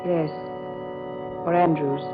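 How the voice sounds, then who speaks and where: An actress playing a gentle, troubled young bride-to-be, earnest and plaintive in 1940s radio drama style. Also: female voice, sits low, steady tone, slow. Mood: curious. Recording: dull vintage radio drama recording. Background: music.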